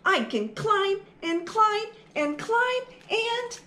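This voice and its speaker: A woman voicing an energetic, heedless little boy mouse in a children's storybook, calling out eagerly in a raised voice. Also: drawn-out words, heavily acted. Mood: excited. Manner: excited, shouting high.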